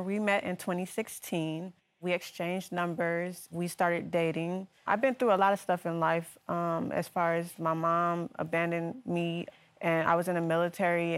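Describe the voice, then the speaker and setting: Earnest aggrieved woman, lowish voice. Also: clear, resonant tone. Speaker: litigant. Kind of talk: courtroom testimony.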